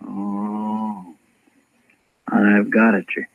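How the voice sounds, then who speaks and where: An actor playing an eager, simple-hearted deputy with a rural American drawl, deferential and earnest, in a Western radio drama. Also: male voice, on the low side, little pitch movement, very slow, no echo. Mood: obedient, earnest. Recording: muffled old radio broadcast recording.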